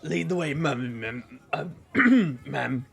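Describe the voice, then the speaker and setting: A man acting a wry, awkward detective magician in an audio drama, with a gravelly voice and dry, halting delivery. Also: a little high, big pitch swings, fairly bright tone, exaggerated voice.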